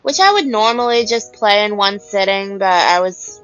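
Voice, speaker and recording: A woman's voice: medium pitch, lively intonation, normal speed, fairly bright tone, clean tone, highs cut off, faint music behind. A woman giving casual gameplay commentary, with informal, rambling asides. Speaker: gamer.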